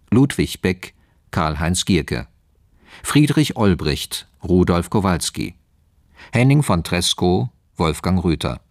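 A man's voice: deep voice, no room sound, clean studio sound. A man formally announcing the title and cast of a radio drama in a factual, scripted style.

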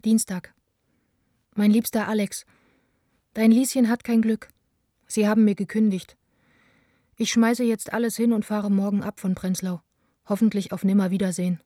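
An actress as a mellow, wounded young woman. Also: mid-range voice, steady tone, moderate pace, long pauses, no echo, clean studio sound, close to an everyday voice. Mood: dejected, confiding. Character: Anna, young East German theatre director, wounded, self-blaming, intimate letter writer. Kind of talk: radio drama, letter read aloud.

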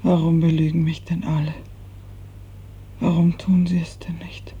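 An actress playing a gravely ill, bedridden woman in a 1950s radio drama, fearful and imploring, her weak, halting voice often sinking to a whisper. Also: low voice, little pitch movement, mellow, full character voice. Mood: anguished, accusing. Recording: muffled 1950s radio recording.

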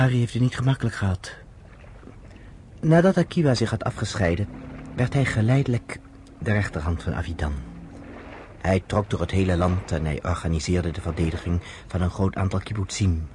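An actor playing a man who recounts history to a woman friend, in measured, explanatory radio-play narration, on a noisy old recording. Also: lowish voice, mellow, natural voice.

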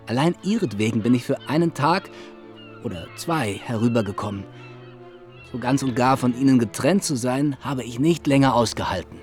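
An actor playing a courteous, self-assured Regency gentleman suitor in a radio play, with a polished, persuasive, formal manner. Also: mid-range voice, moderate pace, faint music behind, natural voice. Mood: wooing. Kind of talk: period radio drama acting.

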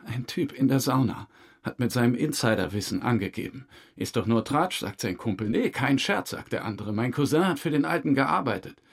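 An unrepentant male suspect under interrogation in a crime audio drama. His mid-range voice has a marked husky rasp. He talks in a breezy, overfamiliar and evasive manner, pleased with himself. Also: medium pitch, expressive, normal speed. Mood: unrepentant, confiding. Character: chummy, unrepentant male murder suspect, evasive and self-satisfied.

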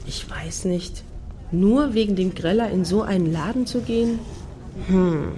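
An actress playing a sensible, sceptical friend in a radio satire, with a dry, chiding manner. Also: female voice, mid-range voice, measured pace, mellow, natural voice. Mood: doubtful. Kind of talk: German radio play dialogue.

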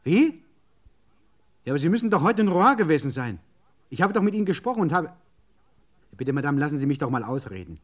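An agitated, insistent male mechanic, swooping pitch. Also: very animated, a little fast, pauses often. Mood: urgent, pleading. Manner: urgent, insistent, muttering to himself in asides. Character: agitated French car mechanic on an urgent phone call. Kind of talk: 1950s German radio play acting.

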